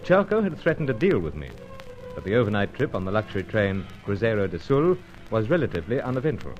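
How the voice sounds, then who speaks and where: An actor playing a dashing, unflappable secret agent in a radio serial, clipped, jaunty and reassuring. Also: male voice, lowish voice, sing-song, fast, darkish tone, muffled recording, soft background music. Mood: brisk narrating. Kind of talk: old-time radio serial acting and narration.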